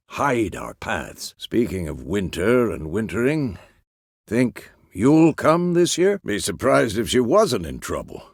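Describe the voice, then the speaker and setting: An aging, seasoned monster hunter for a fantasy video game. His voice is gravelly and mid-pitched, with lively movement in it. He speaks as a gruff, measured teacher, recorded dry and clean. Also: no echo, exaggerated voice. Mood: wry, musing. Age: older. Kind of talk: video game character dialogue.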